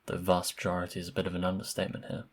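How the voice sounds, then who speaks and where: A man's voice: deep voice, completely flat delivery, rapid-fire. A man voicing an obsessive vampire in an ASMR roleplay, polite and insinuating, with a possessive, menacing undertone. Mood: confiding, intent. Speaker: voice actor in audio roleplay.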